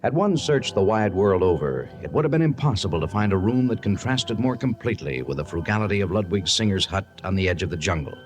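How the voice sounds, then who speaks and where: A man narrating an adventure serial in a grand, measured old-time radio storytelling style. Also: fairly low pitch, expressive, over music, lightly acted. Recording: noisy old radio recording.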